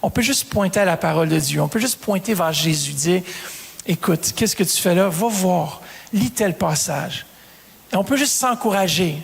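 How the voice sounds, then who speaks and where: High-voiced pastor preaching in a reverberant hall. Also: male voice, animated, normal speed, creaky, breathy edge. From Canada. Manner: preaching with rising emphasis and calls to the congregation. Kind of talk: sermon.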